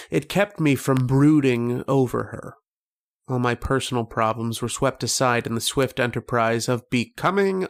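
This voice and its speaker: An actor playing a lovestruck, haunted young man who tells of his dreams in a slow, wistful, literary style of old-time radio drama. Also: mid-range voice, gravelly. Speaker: actor and narrator in a horror radio play.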